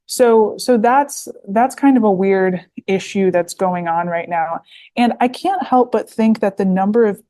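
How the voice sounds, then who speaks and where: A woman's voice: medium pitch, a little slow, warm tone. A woman, talking as a podcast guest, full of fillers and restarts. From United States. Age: 20s. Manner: rambling, heavy with fillers and restarts. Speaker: guest author. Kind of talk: podcast interview.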